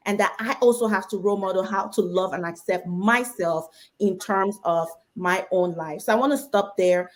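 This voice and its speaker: A middle-aged woman from Nigeria, a doctor on a webinar panel, earnestly telling a personal story. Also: medium pitch.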